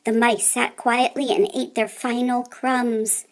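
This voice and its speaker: A woman reading a children's story aloud in an expressive, deliberate way, with theatrical emphasis. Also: on the high side.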